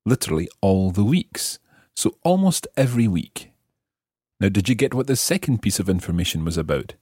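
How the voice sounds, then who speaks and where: A man hosting a scripted language lesson, explaining clearly and repeating phrases slowly and deliberately. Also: lowish voice, darkish tone, dry recording, clean studio sound. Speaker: language teacher and host.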